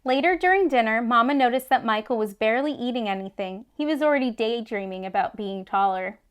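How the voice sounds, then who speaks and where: A woman reading a children's story aloud with expressive storybook narration. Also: on the high side, fairly quick, smooth. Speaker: children's read-aloud host.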